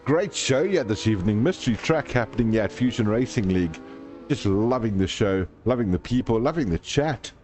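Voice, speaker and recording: A man's voice: on the low side, expressive, brisk, darkish tone. A man hosting a live sim racing stream, calling the race and joking with viewers in the chat. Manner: jokey, chatty, reads viewer comments aloud.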